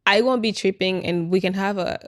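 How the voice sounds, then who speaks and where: A woman's voice: medium pitch, a little fast, no echo, clean studio sound. A woman who speaks Swahili natively, talking in an informal, slangy, conversational way as a podcast guest. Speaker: podcast guest, small business owner. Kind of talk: casual conversational chat.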